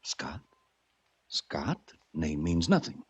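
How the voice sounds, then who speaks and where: An actor playing an anxious, desperate rich man hunted by a killer, husky and faltering, in 1940s radio drama style. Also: deep voice, sing-song, very slow, dull audio, exaggerated voice. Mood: nervous. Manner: faltering, agitated, pleading.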